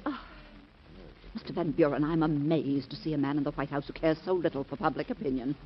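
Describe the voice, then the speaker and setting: An actress playing a haughty, scornful society wife in an old radio drama, speaking in a cutting manner. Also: female voice, sits low, brisk, a little hiss or hum, natural voice. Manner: cutting, sarcastic. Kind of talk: 1940s radio acting. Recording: dull lo-fi old radio recording.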